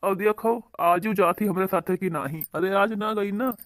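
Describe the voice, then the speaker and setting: High-pitched, grumbling village husband played by a man. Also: fairly even intonation, moderate pace, a bit bright, no echo. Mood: bitter complaining. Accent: Awadhi. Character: young village husband, long-suffering, complaining son-in-law. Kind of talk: comic cartoon dialogue.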